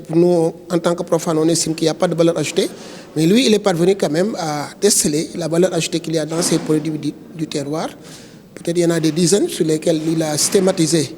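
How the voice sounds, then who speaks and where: A man's voice: on the high side, dark tone. A man with a Senegalese accent giving a spoken statement to the press as spokesman for a network, leaning on repeated filler phrases. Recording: reverberant hall.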